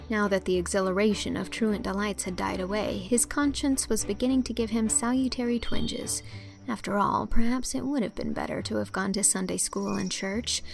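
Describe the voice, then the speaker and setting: Creaky-voiced woman narrating a storybook. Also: mid-range voice. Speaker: audiobook narrator. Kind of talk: scripted literary narration.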